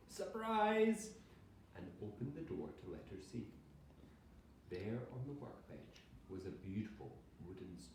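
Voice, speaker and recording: A man's voice: fairly low pitch, dark tone. A man teaching a primary school class, explaining words simply and patiently and reading short examples aloud, with encouragement for the pupils. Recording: echoey classroom, distant mic, faint noise.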